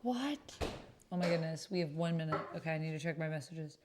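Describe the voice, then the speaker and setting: A woman's voice: sits low, lively intonation, a little fast, heavy background noise. A woman hosting a livestream in casual, reactive chat, with a playful, exclamatory way of talking. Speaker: streamer and host of an actual-play stream.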